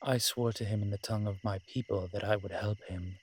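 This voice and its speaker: A man playing a sly, worldly incubus demon in an audio roleplay, husky and sardonic, talking in an unhurried, conversational way. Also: lowish voice, fairly even intonation, a little hiss or hum, close to an everyday voice. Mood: sly, teasing. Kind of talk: dramatic character roleplay acting.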